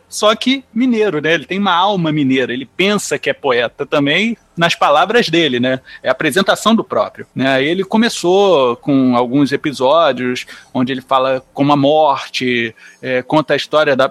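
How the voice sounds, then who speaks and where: High-pitched Brazilian man chatting on a podcast. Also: a bit bright, dry recording. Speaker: podcast panelist. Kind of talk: casual podcast chat.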